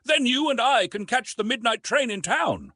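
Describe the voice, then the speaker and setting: Impatient, domineering gentleman suitor for a novel reading acted out by a man, curt, husky, animated. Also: extremely high pitch, moderate pace, dry recording, clean studio sound, pitched above the performer's own voice, exaggerated voice. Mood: brisk. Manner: forceful, demanding, curt.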